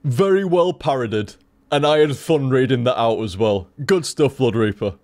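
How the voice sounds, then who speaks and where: A young man with a softened Yorkshire accent, rambling casually to his stream chat, swearing freely and laughing often. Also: mid-range voice, lively intonation, moderate pace, dry recording. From Leeds, Yorkshire, England.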